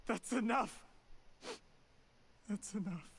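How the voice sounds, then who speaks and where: A man's voice: high voice, slow, creaky, slightly rough, heavily acted. An actor voicing an ambitious, defensive young man in a video game, grandiose and self-justifying. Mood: desperate, upset. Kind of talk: scripted video game voice acting.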